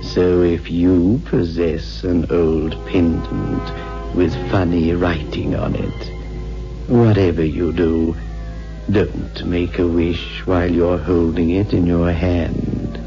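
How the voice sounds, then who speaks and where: A man's voice: deep voice, level tone, takes it slowly. A man playing a sinister, wryly amused horror host on 1960s radio, teasing and leisurely. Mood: mock warning. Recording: muffled old radio recording with music.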